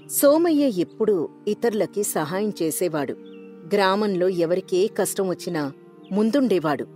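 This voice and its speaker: A woman's voice: on the low side, darkish tone. A woman narrator reading a scripted moral story.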